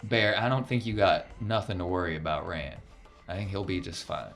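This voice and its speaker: Man acting a folksy crime boss, tabletop game villain, low voice, blunt, combative, fatalistic, music in the background. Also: moderate pace, gravelly, a bit bright.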